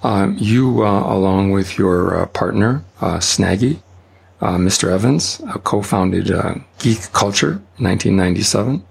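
Gravelly, husky male podcast host. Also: on the low side. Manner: halting, many "uh"s and restarts. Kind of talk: podcast interview.

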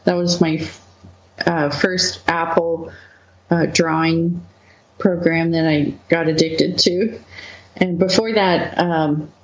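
A woman from Manitoba, Canada, a webcomic co-founder as the guest, talking through her past slowly with frequent laughs and fillers. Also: lowish voice, steady tone, roomy sound, dull audio. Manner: slow, laughing often, many fillers. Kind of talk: relaxed interview conversation.

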